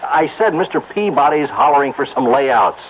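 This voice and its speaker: An actor playing a slangy, chatty American office colleague in an old-time radio drama. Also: male voice, on the high side, expressive, normal speed, lightly acted. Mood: concerned. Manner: chatty, slangy, repeating words. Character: brash, slangy office colleague, concerned and joking. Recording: thin phone-like old radio broadcast, faint noise.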